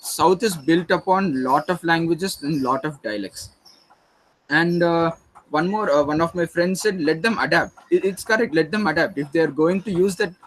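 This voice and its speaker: A man's voice: fairly high pitch, poor audio. A young man with an Indian English accent making his case in a group discussion, earnest, with many fillers and restarts. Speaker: debate participant.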